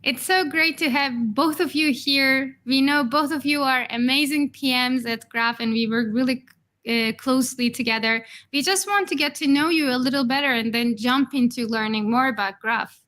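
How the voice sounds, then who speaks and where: A woman's voice: high-pitched, moderate pace, a bit bright. A woman, a native Turkish speaker, hosting a developer webinar over a video call in an upbeat way. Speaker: host.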